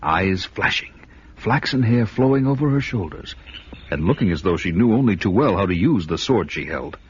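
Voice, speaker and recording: A man's voice: fairly low pitch, expressive, normal speed, slight background noise, natural voice. An actor playing a grim, bold barbarian warrior who tells his vengeance quest in a solemn, archaic, theatrical way for a fantasy radio drama. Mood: watchful. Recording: dull radio recording with loud music.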